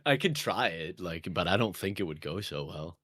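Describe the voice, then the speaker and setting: A man's voice: sits low, animated, moderate pace, fairly bright tone, no echo, clean studio sound. A man from Sweden, a native Swedish speaker, chatting casually and bluntly on a podcast with plenty of informal swearing. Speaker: podcast guest, ASMR roleplay creator and streamer.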